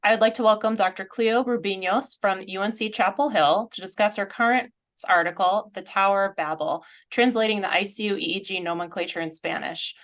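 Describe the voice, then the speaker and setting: A woman's voice: medium pitch, brisk, hardly any pauses, fairly bright tone. Casual woman podcast host. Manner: friendly, casual questioning. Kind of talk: podcast interview.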